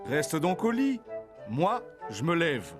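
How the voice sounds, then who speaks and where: Cartoon farmer husband: fed up and scheming, drawn-out pace, hugely animated pitch. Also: male voice, music in the background, exaggerated voice. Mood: sly, insistent.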